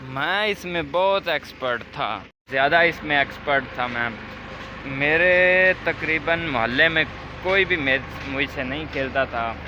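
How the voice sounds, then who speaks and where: A man's voice: on the high side, unhurried. Guy with a bit of a sore throat, chatty village vlog, noisy street behind him. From Pakistan. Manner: chatty, addresses viewers directly. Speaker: vlogger. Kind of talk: casual vlog walking through a village. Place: outdoors in a village lane.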